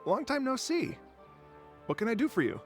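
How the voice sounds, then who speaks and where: A man voicing a nervous, evasive young scholar in a video game, with a gravelly voice and a hesitant, stammering manner. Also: extremely high pitch, sing-song, normal speed, faint music behind.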